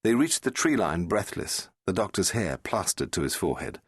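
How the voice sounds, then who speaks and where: A man's voice: deep voice, big pitch swings, moderate pace, breathy edge, no room sound, clean studio sound. A man reading an audiobook in a dramatic, suspenseful storytelling style. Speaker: audiobook narrator. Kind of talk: dramatic scripted narration.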